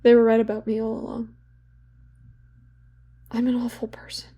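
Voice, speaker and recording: A woman's voice: fairly high pitch, completely flat delivery, normal speed, warm tone, breathy edge. A young woman playing a guilt-ridden, bitter therapy patient in a confessional, self-loathing audio drama monologue. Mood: anguished, self-loathing. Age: young adult.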